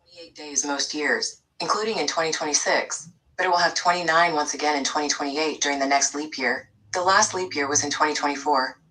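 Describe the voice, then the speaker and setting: A woman's voice: sits low, a little fast, sharp tone, recorded from a distance, poor audio. A synthetic woman's voice assistant reading out a factual search answer, played from a device.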